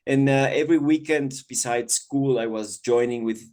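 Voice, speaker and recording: A man from Ecuador, telling his story as an interview guest, grateful and warm, often pausing on "uh" and repeating words. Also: medium pitch.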